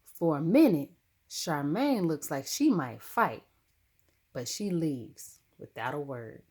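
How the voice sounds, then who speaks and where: A woman narrating a children's story expressively, with dramatic, deliberate phrasing. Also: deep voice, very animated, a little mellow, husky. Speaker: storybook reader.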